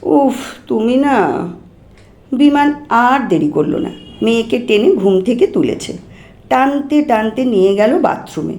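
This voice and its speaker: A woman storyteller reads a short story. Her voice sits a little high, and she narrates expressively, as for an audiobook. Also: lively intonation, moderate pace, a little mellow, roomy sound.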